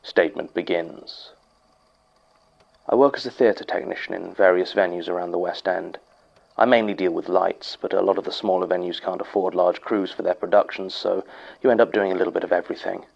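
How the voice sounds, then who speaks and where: An actor with a British accent playing a sober archivist who reads out an eerie testimony in a measured, formal way for a horror audio drama. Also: male voice, deep voice, steady tone, creaky, muffled recording. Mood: formal, grave. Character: sober head archivist reading a theatre technician's eerie testimony. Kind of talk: horror audio drama, reading a recorded statement.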